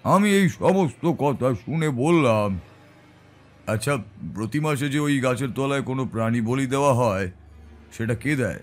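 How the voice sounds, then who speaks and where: A man's voice: mid-range voice, expressive, measured pace, faint music behind, close to an everyday voice. A man playing a grave, composed tantric who recounts an eerie tale in an audio story. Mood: serious, urgent. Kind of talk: dramatic first-person audio storytelling.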